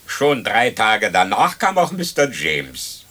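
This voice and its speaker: Deep, mocking rogue. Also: male voice, wildly swooping pitch, slow, fairly bright tone, exaggerated voice. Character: roguish, mocking dead horse thief. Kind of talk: radio play acting. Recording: noisy old radio recording.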